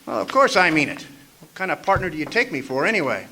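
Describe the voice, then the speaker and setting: A man as a wry, animated radio private eye. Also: fairly high pitch, fast, heavy background noise. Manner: wry, hammy. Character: wisecracking, doleful hard-boiled private eye. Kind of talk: noir parody radio drama, with narration.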